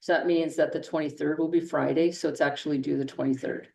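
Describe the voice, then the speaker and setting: A woman teacher talks to her class in a loose, conversational way, circling back on her sentences. Her voice sits low and mellow. She is picked up from across an echoey classroom. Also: fairly even intonation, fast, gravelly, recorded from a distance. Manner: conversational, with frequent restarts and "okay". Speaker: engineering instructor. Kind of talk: classroom lecture.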